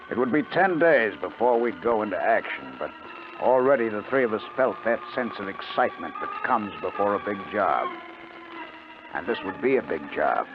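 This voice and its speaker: Animated male radio crook narrator. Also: lowish voice. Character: Steve, confident male crook narrating his scheme, posing as tourist. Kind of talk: 1950s radio drama narration and acting. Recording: muffled, noisy 1950 radio recording.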